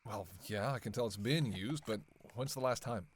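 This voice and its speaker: A man's voice: lowish voice, no room sound, natural voice. A man playing a dry, sarcastic vampire. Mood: sardonic nitpicking. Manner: snarky, playful needling with drawn-out hesitations. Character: sarcastic, teasing male vampire on a road trip. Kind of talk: casual roleplay monologue.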